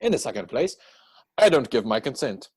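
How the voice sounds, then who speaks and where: A man acting a witty, idle young gentleman from a period comedy. His voice is slightly husky and swings widely in pitch, with arch, playful self-satisfaction in a theatrical reading. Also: big pitch swings, moderate pace. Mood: witty, pompous. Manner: mannered, arch, theatrical delivery.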